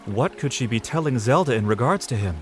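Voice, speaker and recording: A man's voice: medium pitch, lively intonation, brisk, soft background music. A man reading scripted narration for a fantasy audio drama, in a storyteller's style.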